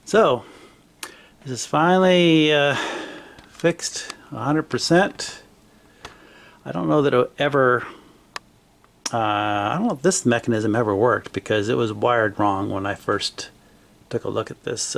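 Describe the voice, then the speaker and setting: A man casually narrating a hands-on repair demonstration in a halting, unscripted way, recorded in a home workshop on a camera mic. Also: mid-range voice, creaky. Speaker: hobbyist repairer presenting his own video.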